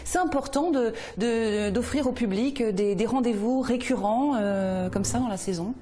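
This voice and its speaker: Bright-voiced woman presenting. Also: fairly high pitch, unhurried. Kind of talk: regional TV culture presenting.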